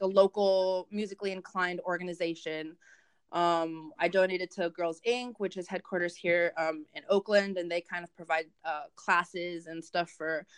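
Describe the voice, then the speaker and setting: A young woman whose first language is Spanish talks in a relaxed interview. She rambles, circles back and fills her sentences with restarts. Her voice sits at an ordinary middle pitch for a woman. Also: clean tone. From United States. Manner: rambling, many fillers and restarts. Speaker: guest, event organizer. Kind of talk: casual interview chat.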